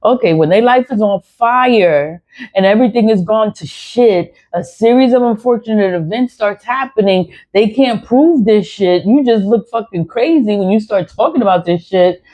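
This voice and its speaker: A woman's voice: mid-range voice, moderate pace, warm tone. A woman in her forties ranting bluntly to her viewers, swearing often and hammering her points with repeated phrases. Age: 40s. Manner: repetitive, emphatic phrasing. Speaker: spiritual vlogger. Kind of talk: unscripted monologue to viewers. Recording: dull lo-fi recording.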